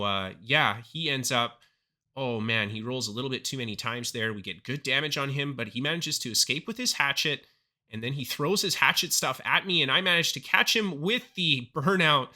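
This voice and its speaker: A young man narrating his own gameplay clips into a close desk mic, his voice a little hoarse from a recent illness. The tone is very bright and sits slightly high for a man, and he turns upbeat and excited on big plays. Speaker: gaming YouTuber. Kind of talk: gameplay commentary voice-over.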